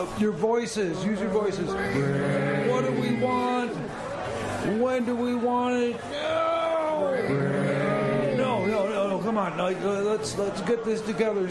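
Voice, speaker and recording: A man playing a flustered, exasperated protest leader who speaks haltingly and repeats himself in a radio comedy sketch. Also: very high-pitched. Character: flustered male protest leader rallying slow zombies. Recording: echoey, noisy crowd all around.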